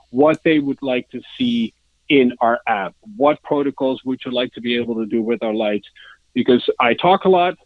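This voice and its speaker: A man from the Netherlands with a Dutch accent, answering interview questions as a sales director in a halting, hesitant way. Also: medium pitch, dry recording, phone-quality sound. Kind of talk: informal interview answers, product pitch.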